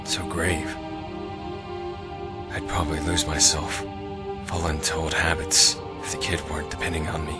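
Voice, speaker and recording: Very deep, gruff guarded guardian. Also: male voice, monotone, moderate pace, pauses often, over loud music. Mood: grave, weary. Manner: terse, menacing, world-weary. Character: gruff, guarded male guardian, wary and threatening. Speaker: video game character. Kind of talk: scripted fantasy game dialogue.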